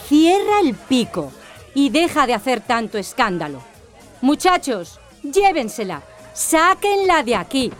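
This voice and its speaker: Cruel bossy madam, high swooping voice, radio drama, music behind. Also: female voice, very animated, a little slow. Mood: bossy, contemptuous. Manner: harsh, commanding, contemptuous. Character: cruel, bullying female brothel boss.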